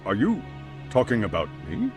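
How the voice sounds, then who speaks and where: A man's voice: medium pitch, wildly swooping pitch, moderate pace, over music. A man playing a polite, anxious school chairman in a video game cutscene, formal and hesitant. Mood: puzzled, courteous.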